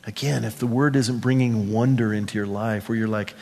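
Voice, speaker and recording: A man's voice: on the low side, steady tone, brisk, creaky, a little mellow. A man preaching a conversational verse-by-verse sermon, often restating phrases and putting questions to his listeners. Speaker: pastor, preacher. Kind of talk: sermon, verse-by-verse Bible teaching to a congregation. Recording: long hall reverb.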